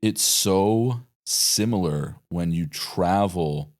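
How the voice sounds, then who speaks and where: A man's voice: deep voice, takes it slowly. A man in his thirties hosting a podcast, chatting casually in a rambling way and cursing freely. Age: 30s. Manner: rambling, swears freely, acts out quotes.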